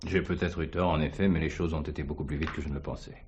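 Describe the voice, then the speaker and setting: An actor playing a courteous, unhurried police commissioner in a theatrical drama, speaking in a measured, polite way. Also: male voice, extremely low pitch, steady tone, darkish tone, muffled recording, a little hiss or hum. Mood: candid, measured. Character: unhurried, courteous male police commissioner investigating an old case. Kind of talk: French stage or TV play acting.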